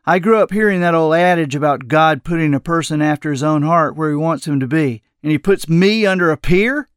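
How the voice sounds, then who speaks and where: A man's voice: fairly high pitch, brisk, clean tone, no echo, clean studio sound, close to an everyday voice. A man voicing a bitter, troubled young man who speaks in a curt, sarcastic, defensive way, as dialogue in an audiobook. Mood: skeptical.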